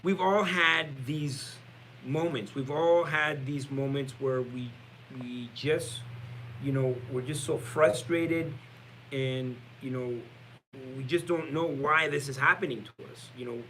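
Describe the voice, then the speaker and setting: A man in his forties from El Salvador, telling his personal story as a podcast guest in a halting, reflective way. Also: medium pitch, fairly bright tone, a little hiss or hum, poor audio. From born in El Salvador, moved to Canada at nine. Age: 40s. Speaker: podcast guest, real estate entrepreneur.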